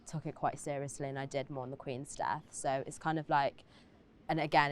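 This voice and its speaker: A young woman speaking reflectively in a documentary interview, pausing often with fillers. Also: low-pitched, a little hiss or hum.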